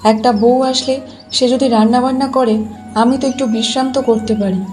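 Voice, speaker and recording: Soft high-voiced aging village mother played by a woman. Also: steady tone, normal speed, soft background music, close to an everyday voice. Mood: pleading, weary. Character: kindly aging village mother, gentle and weary. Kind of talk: Bengali cartoon voice acting.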